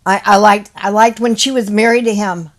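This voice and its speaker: A woman's voice: medium pitch, steady tone, normal speed. A woman in her seventies chatting casually as a guest, blunt and dismissive, often restarting her sentences. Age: 70s. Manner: blunt, dismissive, stumbles over words. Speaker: guest, co-host's mother. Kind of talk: casual chat.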